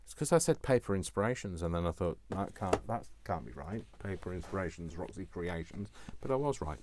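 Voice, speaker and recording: A man's voice: sits low, animated, brisk. A man presenting a craft tutorial in a casual, chatty way, rambling with self-deprecating, jokey asides. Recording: noticeable background noise, small clicks.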